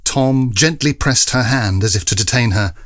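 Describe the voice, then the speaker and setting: A man's voice: medium pitch, normal speed, no echo. A man narrating a classic period story in a scripted, dramatic style.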